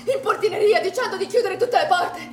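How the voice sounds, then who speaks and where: An actress playing a flustered, fearful female pool manager in a stage drama, agitated and halting, repeating her words. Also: very high-pitched, a little mellow. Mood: anxious, defensive. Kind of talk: naturalistic Italian stage drama acting. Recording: roomy, distant stage recording with music.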